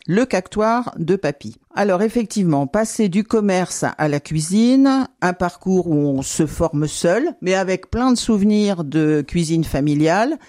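A man hosting a radio interview, asking brisk, guiding questions. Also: sits high, darkish tone, dry recording, clean studio sound.

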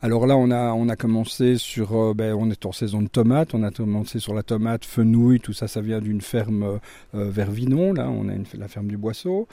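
A man's voice: fairly low pitch, moderate pace, warm tone, no room sound. A middle-aged man with a Belgian French accent, speaking casually as a chef being interviewed. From Brussels, Belgium.

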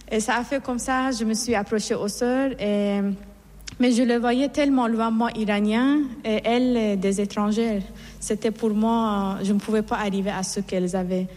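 A middle-aged nun from Iran, reverberant hall. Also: female voice, fairly high pitch, fairly even intonation, moderate pace, a little mellow. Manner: earnest, reflective storytelling with restarts. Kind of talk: personal testimony in an interview.

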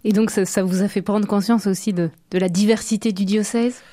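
A woman's voice: medium pitch, fairly even intonation, fairly quick, warm tone. A woman working as an interviewer, asking questions in a conversational way.

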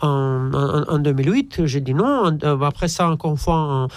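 A man from Romania, an Orthodox priest answering interview questions haltingly and repeating words as he searches. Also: a little high, lively intonation.

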